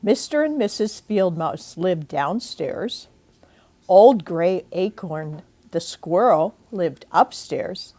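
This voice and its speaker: Older woman, grandmotherly children's read-aloud, unhurried pace, animated intonation, speaks directly to young listeners. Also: lowish voice. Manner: slow and deliberate, with asides and questions to young listeners. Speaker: grandmother reading a picture book aloud. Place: indoors at home.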